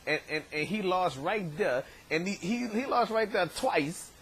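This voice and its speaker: Hoarse male vlog host in his thirties, fairly high voice, rambling and stammering, webcam in a bedroom with faint hiss. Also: expressive, measured pace, a bit bright. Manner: rambling, many stammered repeats. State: hoarse, voice worn from shouting. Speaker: show host. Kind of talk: casual vlog shout-outs. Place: bedroom, indoors. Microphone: webcam at desk distance.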